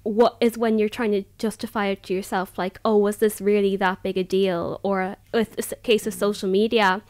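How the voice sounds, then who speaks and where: A nineteen-year-old woman with an Irish accent talks in a casual roundtable. She is chatty and conversational, telling personal stories and acting out bits of what she thought or said. Her pitch is average for a woman. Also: moderate pace. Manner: chatty, animated filler "like", quotes herself. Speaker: roundtable participant. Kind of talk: casual personal storytelling in group discussion.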